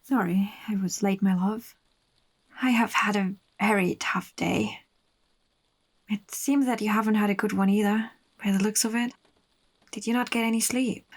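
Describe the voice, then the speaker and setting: A woman's voice: medium pitch, fairly even intonation, normal speed, lightly acted. A woman playing an obsessive, possessive and volatile lover with sweet menace in an audio horror drama. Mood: tender, possessive.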